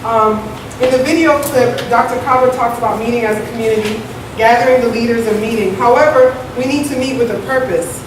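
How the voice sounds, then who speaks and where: Woman moderator at a community panel, slightly high voice, super echoey hall, far from the mic. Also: fairly quick. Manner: conversational, laughs easily, checks in with "right?". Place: large echoey event hall with an audience. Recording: echoey hall, distant mic, audible hiss.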